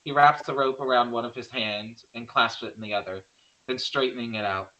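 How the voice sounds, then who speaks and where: A man's voice: mid-range voice, fairly even intonation, brisk, sharp tone, poor audio. A man narrating stage directions in an amateur screenplay table read, sometimes stumbling and correcting himself.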